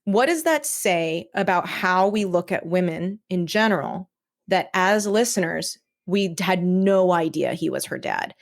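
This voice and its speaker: A woman talking casually as a podcast guest, explaining her creative choices in an animated, conversational way and voicing imagined reactions. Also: lowish voice, measured pace, vocal fry. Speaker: guest, audio drama creator.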